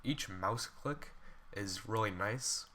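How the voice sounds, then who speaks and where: A man's voice: on the low side, takes it slowly, bright, forceful tone. A young man with a cold, sounding congested, casually reviewing computer mice and rambling with fillers and restarts. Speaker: tech reviewer. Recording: faint background noise, poor quality capture.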